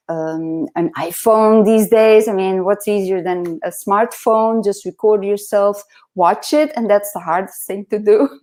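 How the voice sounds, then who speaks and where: A soft-spoken woman hosting a casual interview over a video call, earnest and reflective, laughing often. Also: mid-range voice. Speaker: host.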